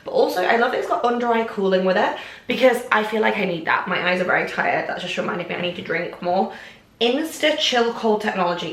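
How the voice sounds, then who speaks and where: A chatty young woman from England talks to camera in her kitchen, rambling happily with lots of little asides. Her voice sits at an ordinary middle pitch and sounds a bit snuffly. The room around her rings with echo. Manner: bubbly, rambling, lots of "like" and "oh my gosh". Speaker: vlogger. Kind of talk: casual chatty vlog to camera. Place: home kitchen, indoors. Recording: echoey room.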